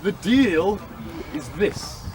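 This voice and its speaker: A man voicing a dapper, smooth-talking devil with a wandering French accent, recorded outdoors with street noise. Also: high voice, big pitch swings, drawn-out words, heavily acted. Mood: scheming. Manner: wheedling, bargaining, wavering French accent.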